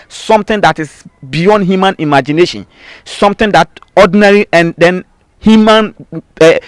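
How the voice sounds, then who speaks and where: Higher-voiced Ghanaian man explaining. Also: dry recording. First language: Twi. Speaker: babalawo spiritual practitioner, interviewee. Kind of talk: explanatory interview talk.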